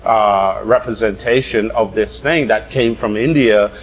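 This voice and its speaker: A man from Guyana, a chef chatting casually and telling a lively story with quick bursts. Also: lowish voice, poor audio. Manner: animated storyteller, quick bursts, laughs often. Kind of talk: casual chat.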